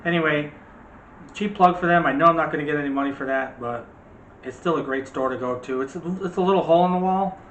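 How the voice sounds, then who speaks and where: A man's voice: a little high, fast, fairly bright tone, highs cut off. A middle-aged man co-hosting a movie channel in a casual, rambling chat with a teasing streak. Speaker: co-host of a movie review channel. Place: room at home. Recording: roomy echo, faint background noise.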